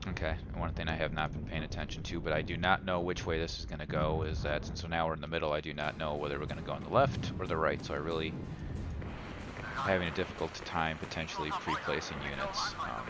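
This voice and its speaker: Very deep, hesitant man thinking aloud. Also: fairly even intonation. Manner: hesitant, halting, self-deprecating, many uhs and ums. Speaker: player commentating own game. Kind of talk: unscripted gameplay commentary, thinking aloud. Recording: loud game music and battle sounds behind. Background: game music, artillery fire, vehicles.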